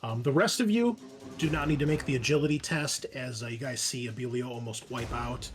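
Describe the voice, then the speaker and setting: Male game master, horror tabletop narration, halting delivery, music underneath. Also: mid-range voice. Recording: music behind the voice.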